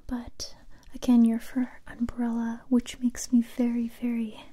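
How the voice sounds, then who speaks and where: A young woman playing a kind but wary survivor interrogating someone in a soft, intimate, close-up ASMR whisper. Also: a little high, monotone, breathy edge. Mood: cautious, concerned. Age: young adult. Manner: slow, hesitant, intimate close-up delivery. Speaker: ASMR roleplay performer. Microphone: close mic, whispering near the listener.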